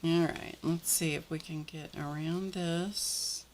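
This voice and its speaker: A middle-aged woman with a slightly croaky voice, hosting a live art journaling session and chatting casually while she works with her hands. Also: deep voice, steady tone, takes it slowly, darkish tone, slight background noise. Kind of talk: live craft demonstration.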